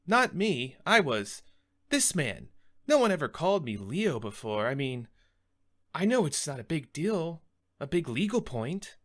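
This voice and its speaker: Man acting a timid, high-pitched earnest pleader. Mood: shy, halting. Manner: stammering, repetitive, pleading. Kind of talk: theatrical stage monologue.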